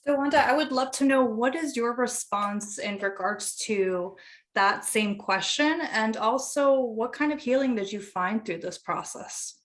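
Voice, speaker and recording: A woman's voice: fairly high pitch, measured pace, a bit bright. A woman interviewer asks prepared questions in a polite, encouraging, conversational way.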